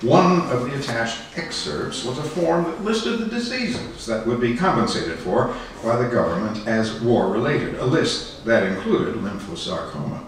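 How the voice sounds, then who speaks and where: A man giving a dramatic reading of a written memoir at a public program, with measured, deliberate phrasing. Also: medium pitch, very animated. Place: indoor arts center venue. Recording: roomy echoing hall, distant mic, faint music.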